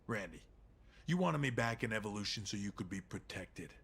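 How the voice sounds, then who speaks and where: A man playing a confident, scheming veteran pro wrestler in a video game cutscene, with a gravelly voice and a calculating, self-assured manner. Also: fairly low pitch, lively intonation, a little fast, a bit bright, exaggerated voice. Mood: calculating, smug.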